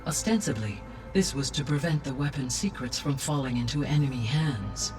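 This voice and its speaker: An actress voicing a calm, wise female AI that speaks in a measured, formal way. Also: very deep voice. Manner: measured, formal, precise diction. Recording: distant voice over loud music.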